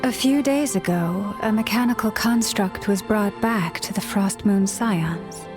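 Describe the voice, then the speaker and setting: A serene young moon priestess played by a woman, speaking slowly and formally. Her delivery is poetic and composed, in video game fantasy style with clear music behind. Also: mid-range voice, lightly acted. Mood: grave. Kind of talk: video game cutscene acting.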